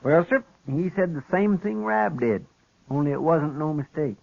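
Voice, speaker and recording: An actor playing an eager, deferential marshal's helper with rustic, folksy grammar in an old radio western. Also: male voice, fairly high pitch, expressive, moderate pace, gravelly. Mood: earnest. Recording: dull lo-fi 1950s radio recording.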